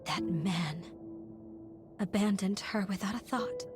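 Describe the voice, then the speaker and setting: A young healer played by an actress in a game cutscene, with music playing behind the voice. The woman is earnest and caring, articulate and gentle, with an old grudge underneath. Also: medium pitch, slow, natural voice. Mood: resentful, pained. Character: caring young female healer companion with a bitter family grudge. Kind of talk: video game cutscene voice acting.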